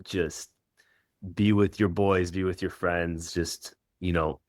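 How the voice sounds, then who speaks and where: A middle-aged man who grew up in Israel, Kenya and the United States, answering interview questions in an informal, reflective, halting way as a coach. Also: sits low, steady tone. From Israel and Kenya, United States from age 13. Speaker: professional soccer coach.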